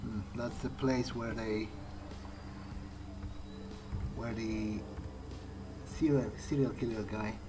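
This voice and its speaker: A man's voice: fairly low pitch, steady tone, slow, pauses often. A man improvising in character as he plays a video game, thinking aloud hesitantly. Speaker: gamer playing in character. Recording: clearly noisy, game ambience underneath. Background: video game ambience.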